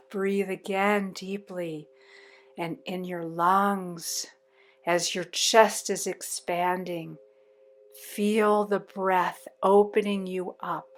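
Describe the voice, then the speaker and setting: A woman leading a guided meditation in a soothing, deliberate way with long pauses between phrases. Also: lowish voice.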